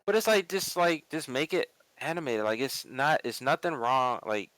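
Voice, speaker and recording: A man's voice: mid-range voice, animated, moderate pace, a bit bright, no echo, rough recording. A man talking casually as a panelist in a group discussion, emphatic and opinionated, repeating himself for stress. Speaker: panelist on an online pop culture show.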